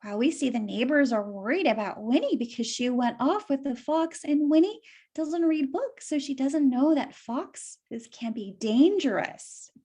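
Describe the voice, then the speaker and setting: A woman's voice: high voice, lively intonation, a little slow, mellow. A woman reading a story aloud for children, explaining it simply and putting questions to young listeners.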